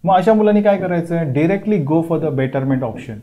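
Man teaching admissions, mellow voice. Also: on the high side, expressive, normal speed. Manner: emphatic and reassuring advice. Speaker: admissions counsellor teaching at a whiteboard. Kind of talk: classroom lecture video. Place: indoor classroom in front of a whiteboard. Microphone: lapel mic.

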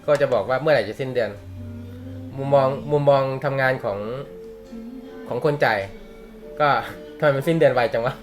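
A man's voice: mid-range voice, normal speed, long pauses, soft background music. A young man teaching a manga class in a casual, joking way, laughing often and using slang. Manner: chatty, laughs often, uses slang and swears. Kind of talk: casual live class talk.

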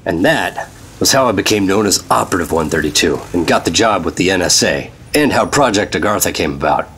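An actor playing an earnest, excitable government agent in an old-time style radio drama. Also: male voice, deep voice, a little fast, slight background noise. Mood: storytelling. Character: earnest, excitable male government secret agent telling his story.

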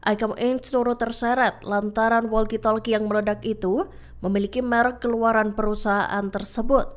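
News voice-over: woman, slightly high pitch, formal and measured scripted read. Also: fairly even intonation. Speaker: news narrator.

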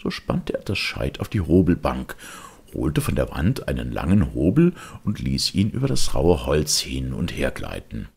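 A male storyteller with an extremely deep, creaky voice reads a children's tale. His delivery is theatrical and deliberate, sweeping dramatically up and down in pitch. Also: huge pitch swings, a little mellow.

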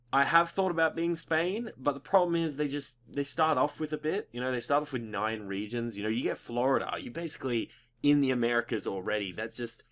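A young adult man with a fairly low voice that has a slight croak to it. He hosts a gaming video in a casual, chatty way, rambling through his thoughts with loose, informal and occasionally sweary phrasing. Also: fairly bright tone. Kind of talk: casual gameplay commentary.

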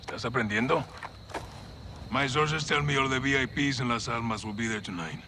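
An actor playing a tough, wry Mexican special forces officer in a video game, with a Mexican accent. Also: male voice, medium pitch, fairly quick, pushed and bright, slight background noise, lightly acted. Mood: wry, measured.

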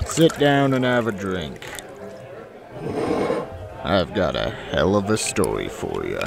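A man's voice: low voice, wildly swooping pitch, takes it slowly, gravelly, over loud music, heavily acted. A man playing a crude, hard-drinking space drifter who tells his story in a folksy, swaggering way for an audio drama. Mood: wry, laid-back. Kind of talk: audio drama acting with first-person narration.

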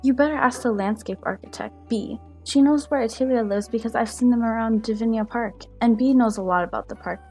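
A woman's voice: a little high, a little fast, few pauses, mellow, faint music behind, close to an everyday voice. A woman playing a knowledgeable scholar of magic in an amateur fan film, explaining things with assurance. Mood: assured.

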